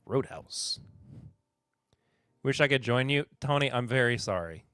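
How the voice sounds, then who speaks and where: A man's voice: medium pitch, animated, measured pace, a bit bright, clear, resonant tone, no echo, clean studio sound. A man from Kentucky hosting a live podcast in casual chat, laid-back and chuckling as he reads and answers viewer comments. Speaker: podcast host.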